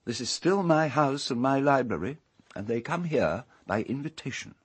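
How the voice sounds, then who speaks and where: An actor playing an urbane, haughty English castle owner in a radio drama, with a husky voice and pompous, measured formal speech. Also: male voice, medium pitch, lively intonation. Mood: cool, pointed.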